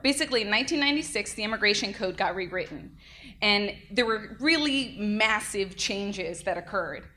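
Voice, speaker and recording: Energetic woman lecturing at a workshop. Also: mid-range voice, moderate pace. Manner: energetic, lively, self-described loud. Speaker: defense attorney giving a workshop talk. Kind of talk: conference lecture. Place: conference room. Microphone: handheld or podium mic.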